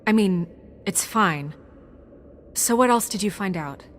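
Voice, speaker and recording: An actress playing a stern, stressed office leader in a video game, speaking with brisk, exasperated authority. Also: female voice, medium pitch, animated, a little hiss or hum, natural voice. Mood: weary, pressing. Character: stern, stressed, businesslike woman running an office.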